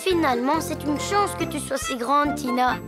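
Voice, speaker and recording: A woman's voice: sits high, normal speed, close to an everyday voice. A woman voicing a helpful, earnest young boy hippo in a cartoon dub, with music behind. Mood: earnest, hopeful. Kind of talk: French cartoon dub acting.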